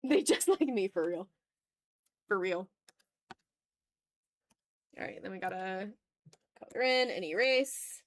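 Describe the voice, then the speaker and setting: A woman's voice: mid-range voice, expressive, slow, long pauses, dry recording. A woman chatting casually on an art livestream while she draws, informal and rambling, with some swearing and self-deprecation. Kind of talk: casual livestream chat while drawing, reading out viewer comments.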